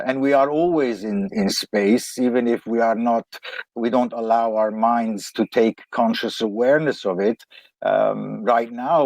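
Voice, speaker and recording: A man's voice: medium pitch, a little mellow, dry recording. A man from Lebanon, a guest economist, answering interview questions in a reflective, hesitant way. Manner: hesitant and reflective, with many fillers and restarts.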